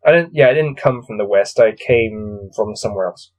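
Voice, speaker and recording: A man's voice: on the low side, normal speed, a little mellow, dull audio. A man with a cold coming on reads a gamebook aloud, adding weary, exasperated, off-the-cuff commentary. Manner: weary, grumbling, exasperated asides. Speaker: solo gamebook reader and commentator.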